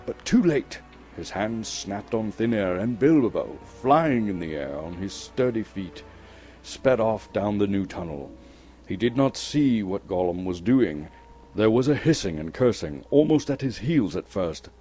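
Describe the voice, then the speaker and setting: A man reads a story as theatrical, suspenseful narration. His voice is low and very mellow, and he sets phrases apart with deliberate pauses. Music plays underneath him. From Canada. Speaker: storyteller, actor reading an audiobook chapter. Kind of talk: dramatic scripted narration.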